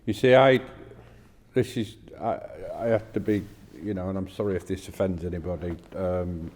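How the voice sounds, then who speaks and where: A man's voice: deep voice, steady tone, moderate pace, a little mellow, in a large hall. A man in casual podcast discussion, speaking as a co-host in a tentative, questioning way.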